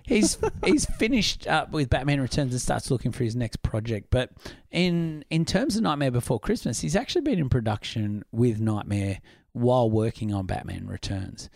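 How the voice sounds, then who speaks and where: Husky-voiced man hosting a podcast. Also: medium pitch, sing-song, moderate pace, few pauses, darkish tone, dry recording. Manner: chatty, enthusiastic, laughs often. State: husky from illness. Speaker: podcast co-host. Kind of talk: casual film podcast chat.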